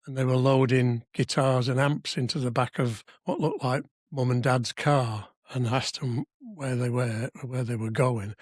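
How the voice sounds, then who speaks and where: A man in his seventies from Wales with a slightly husky voice, chatting through memories in an anecdotal way with dry asides. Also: mid-range voice, steady tone, normal speed, hardly any pauses, dry recording. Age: 70s. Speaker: podcast guest, civic society member. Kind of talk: conversational reminiscence. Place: podcast studio.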